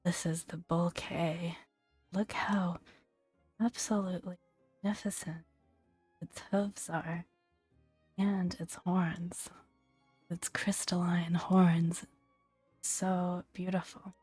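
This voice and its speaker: A woman speaking softly and breathily in a gentle, playful ASMR style, often dropping into a whisper as she reads and comments. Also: on the low side, little pitch movement, slow, pauses often, husky, no echo, soft background music. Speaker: gaming video host. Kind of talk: soft-spoken ASMR, reading creature entries with casual commentary.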